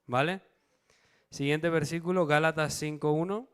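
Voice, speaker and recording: Guy preaching a bible study, smooth clear voice, keeps asking the congregation "ok?". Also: fairly high pitch, steady tone, moderate pace. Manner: asks the congregation questions, checks in with "vale"; reads out verses, then explains them.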